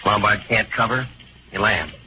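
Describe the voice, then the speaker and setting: Actor as a low-voiced, streetwise American crook. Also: male voice, moderate pace, close to an everyday voice. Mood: glib, assured. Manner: slangy, clipped, streetwise. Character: slangy, cocky male gambler suspect, defensive and glib. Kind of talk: 1940s-50s American radio crime drama acting. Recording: muffled, noisy vintage radio recording.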